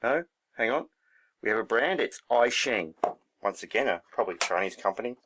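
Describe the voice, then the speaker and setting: A man's voice: on the low side, lively intonation, moderate pace, noisy background. A man giving a casual hands-on demonstration, thinking aloud in a laid-back, unscripted way as he works. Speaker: hobbyist presenter.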